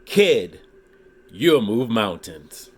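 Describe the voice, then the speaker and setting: A man reading a rhyming children's book aloud in an expressive, deliberate way, pausing dramatically for emphasis. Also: fairly low pitch. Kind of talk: expressive storybook read-aloud in rhyme.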